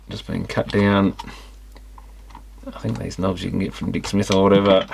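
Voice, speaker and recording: A man's voice: fairly low pitch, vocal fry, noisy background. A man giving casual, unscripted teardown commentary on electronics, musing and thinking aloud as he examines things. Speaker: electronics repair hobbyist presenting a teardown.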